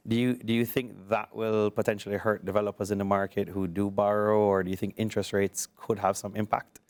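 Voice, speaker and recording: A man's voice: on the low side, little pitch movement, brisk, a little mellow. A man hosting a casual interview, conversational and loose, leaning on filler phrases. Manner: conversational, leans on fillers like "you know". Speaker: interview host.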